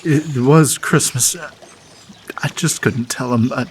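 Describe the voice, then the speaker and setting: A man's voice: medium pitch, slow, slight background noise, lightly acted. A man playing a meek, nervous young ranch foreman in a radio play, hesitant and apologetic. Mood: sheepish, apologetic.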